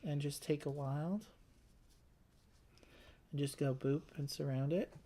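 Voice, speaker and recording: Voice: mid-range voice, slow pace, warm tone. A board game player and co-host chatting casually through a playthrough, thinking aloud while planning moves.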